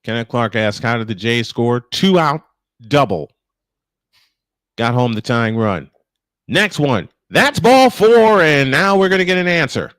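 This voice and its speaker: A man's voice: medium pitch, very animated, measured pace, fairly bright tone, clear, resonant tone, no room sound. Male baseball play-by-play announcer, dry close sound. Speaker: play-by-play commentator on a live stream. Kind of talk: live baseball play-by-play commentary.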